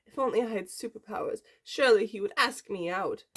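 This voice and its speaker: A woman voicing a lovesick, over-excitable, dim teenage schoolgirl in a comic story reading. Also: medium pitch, fairly quick, pitched above the performer's own voice, close to an everyday voice. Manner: breathy loud whispers and sudden yells.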